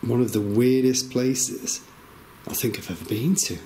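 A man on a night-time ghost hunt speaks in a creaky, fry-edged voice at a very unhurried pace. He comments on his surroundings and challenges spirits, with clear background noise around him. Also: medium pitch, mellow, poor audio. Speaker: paranormal investigator. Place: inside a cave at night.

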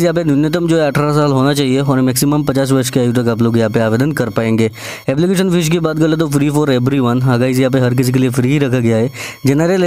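Male Indian presenter for an instructional screen-recording voice-over; mid-pitched, mellow, quick, repetitive and directly addressing the viewer. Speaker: job vacancy channel presenter.

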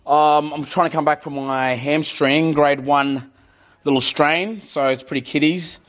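A man's voice: fairly high pitch, fairly even intonation, measured pace, fairly bright tone. A man in his thirties chatting casually to camera in an upbeat, slangy hype style. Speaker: vlogger and rugby league player. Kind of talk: casual vlog chat to camera. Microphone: handheld camera at arm's length. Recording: clear background noise, traffic and room sounds.

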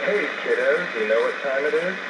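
A man's voice: medium pitch, expressive, a little fast. A man reading scripted announcements as a radio station announcer, heard through shortwave radio. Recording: noisy shortwave radio reception with music. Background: music and radio noise.

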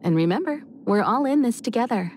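A woman's voice: a little high, animated, fairly quick, a little mellow, a little hiss or hum, natural voice. A woman voicing a confident, nurturing, elegant mentor in a video game, reassuring and poised. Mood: confident, caring.

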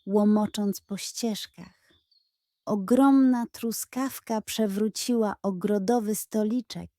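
A woman narrating a scripted children's story in a dramatic, expressive way. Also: medium pitch, dry recording, clean studio sound. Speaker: audiobook narrator.

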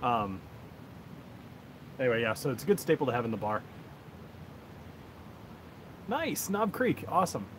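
A man's voice: mid-range voice, sing-song, unhurried, long pauses, a bit bright. A man in his thirties from the United States, rambling casually as he hosts a livestream on his phone outdoors. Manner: laid-back, rambling, chuckles often. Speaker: whiskey reviewer, livestream host. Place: outdoors on a backyard deck. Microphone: cell phone on a tripod. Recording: noisy outdoor phone recording.

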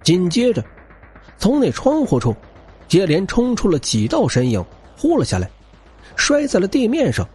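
Male narrator, dramatic novel reading, extremely animated pitch, husky tone. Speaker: audiobook narrator.

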